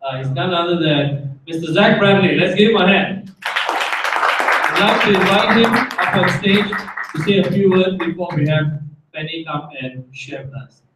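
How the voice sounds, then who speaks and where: Higher-voiced man hosting, very echoey room. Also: moderate pace. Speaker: event host introducing a speaker. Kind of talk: welcome speech at a meeting. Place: meeting room, before an audience. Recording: very echoey room, distant mic, faint noise.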